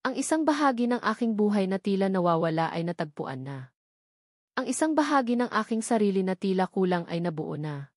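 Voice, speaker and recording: A woman narrates a scripted romantic drama in a measured, emotive way. Her voice sits at a middle pitch, recorded very dry and close. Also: sing-song, darkish tone, no echo. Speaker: story narrator.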